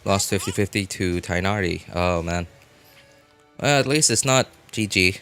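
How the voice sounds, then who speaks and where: A man live streaming a game, chatting casually with viewers and thinking aloud in halting, informal bursts. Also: sits low, fairly bright tone. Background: music.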